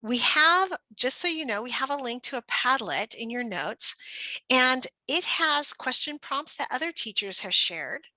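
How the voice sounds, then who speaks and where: A woman's voice: sits high, lively intonation, a little slow, bright, forceful tone, no room sound, rough recording. A woman giving an instructional presentation for educators, often posing questions as if to young children. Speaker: teacher trainer presenting a workshop.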